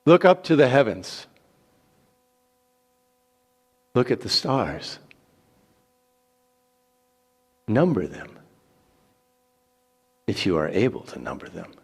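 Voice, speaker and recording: A man's voice: fairly low pitch, huge pitch swings, measured pace, creaky, breathy edge, in a large hall, full character voice. A man voicing God in a dramatic scripture reading, solemn, authoritative and emphatic in his declarations. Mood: solemn promise. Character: God, solemn authoritative male giving promises and commands.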